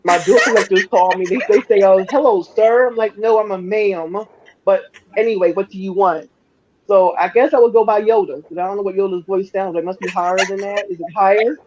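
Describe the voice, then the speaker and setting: A woman with a deep voice chatting as a guest, blunt and quick with a joke. Her mic sounds a little dull and lo-fi, with faint noise underneath. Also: steady tone. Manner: blunt, joking. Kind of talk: casual chat.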